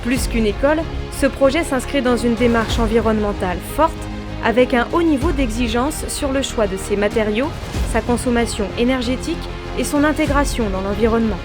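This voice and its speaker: A woman delivers scripted documentary narration in a fairly high register. Music plays clearly behind her voice throughout. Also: fairly quick.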